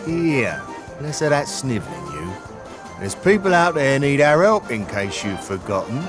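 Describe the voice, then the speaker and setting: A man's voice: mid-range voice, sing-song, a little slow. An actor playing a blunt, teasing male companion in a video game, speaking in a brusque, scolding way. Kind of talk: video game cutscene voice acting. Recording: game music behind.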